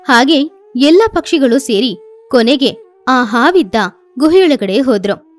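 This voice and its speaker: A woman's voice: high-pitched, lively intonation, a little slow, faint music behind. A woman narrating a scripted children's story.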